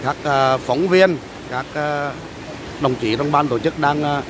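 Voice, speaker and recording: Man, casual beach commentary, halting with fillers, fairly high voice, loud waves and wind behind. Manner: halting, many drawn-out fillers, addresses viewers. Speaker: vlogger filming a beach race. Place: outdoors on a windy beach by the surf. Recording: loud surf and wind noise.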